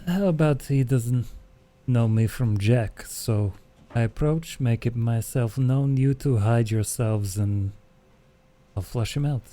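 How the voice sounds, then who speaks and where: Guy doing a slow, really mellow dark-toned vampire tough, terse and stoic, tabletop rpg. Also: medium pitch, natural voice. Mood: calm, willing.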